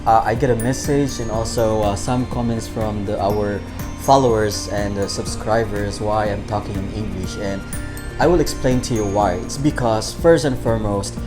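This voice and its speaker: A man's voice: lowish voice, steady tone, normal speed. Filipino guy doing a food review vlog, chatty, lots of um and uh, music behind. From Philippines, Bohol. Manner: chatty, repeats himself, many fillers. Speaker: food vlogger.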